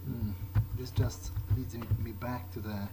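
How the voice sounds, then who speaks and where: A man's voice: mid-range voice, flat delivery, unhurried, noisy background. A man thinking aloud in character as he plays a game, musing hesitantly and muttering to himself. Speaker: gameplay video player. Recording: loud music behind the voice. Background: loud game music.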